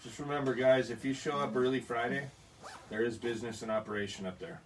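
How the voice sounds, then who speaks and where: A man's voice: medium pitch, fairly even intonation, quick pace, distant mic, slight background noise, low-quality recording. A man co-hosting a casual livestream chat, joking and teasing.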